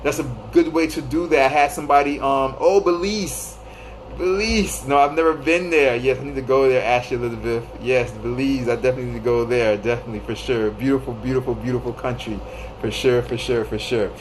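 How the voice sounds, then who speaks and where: Brief: male live-stream host, thirties, mid-pitched and easygoing, repeats himself, faint hiss behind. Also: fairly even intonation, a little fast, low-quality recording. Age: 30s. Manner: loose and repetitive, greeting viewers by name. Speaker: livestream host. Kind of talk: casual live-stream advice talk.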